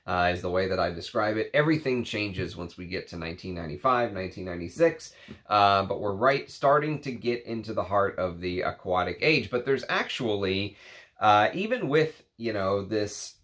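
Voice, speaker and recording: A man's voice: fairly low pitch, animated, moderate pace, a bit bright. A man in his thirties, congested from a cold and a little nasal, talking casually to camera in a rambling way with lots of fillers. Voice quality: slightly nasal. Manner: chatty, rambling, frequent fillers. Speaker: perfume reviewer. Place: home room with shelves of perfume bottles.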